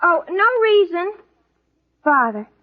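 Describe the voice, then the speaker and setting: An actress playing a scheming, coaxing teenage daughter in a 1930s radio comedy. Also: female voice, extremely high pitch, big pitch swings, slow pace, full character voice. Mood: coaxing, pleading. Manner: earnest, coaxing. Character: scheming, pleading teenage daughter and jealous sweetheart. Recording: muffled old 1930s radio recording.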